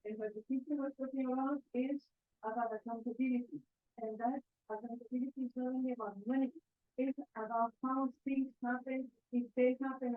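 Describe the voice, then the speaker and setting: A woman from the audience making a long, earnest, rambling comment. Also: fairly high pitch. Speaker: audience member asking a question. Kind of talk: impassioned spontaneous comment. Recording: tinny phone-like sound.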